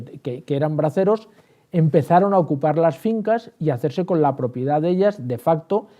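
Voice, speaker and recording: A man's voice: on the high side, warm tone. A man explaining as an interview guest, repeating words and answering his own questions. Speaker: historian and writer, interview guest. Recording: dull, band-limited sound.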